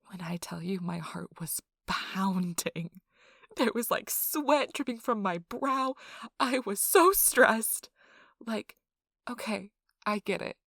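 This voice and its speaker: A woman playing an excitable, nerdy young girlfriend who rambles casually about her tabletop game in an animated ASMR role-play. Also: medium pitch, slow pace, gravelly, dry recording, clean studio sound, full character voice. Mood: excited, dramatic. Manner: animated, breathless emphasis, frequent "like" asides.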